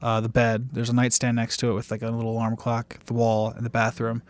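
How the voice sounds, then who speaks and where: A man's voice: medium pitch, completely flat delivery, a little fast. Casual man narrating a tabletop game. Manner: informal, loosely descriptive. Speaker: podcast player narrating his character's actions.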